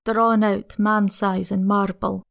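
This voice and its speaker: Old country housekeeper woman, put on by a male reader, slow and hushed, placid and superstitious, in a gothic audiobook. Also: sits high, level tone, creaky, voice pushed up, heavily acted. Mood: ominous.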